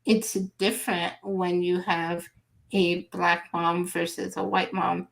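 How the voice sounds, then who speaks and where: Slow-talking woman host with a gravelly voice. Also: on the low side, flat delivery, poor audio. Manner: unhurried, reads viewer chat aloud, halting. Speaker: livestream host. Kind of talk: hosting a live online interview.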